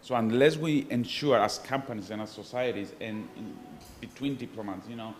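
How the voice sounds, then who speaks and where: A man with a Cypriot Greek accent, a professor speaking on a conference panel in an earnest, measured way with small restarts. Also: fairly low pitch, a little hiss or hum. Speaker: panelist, professor of international relations. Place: conference hall.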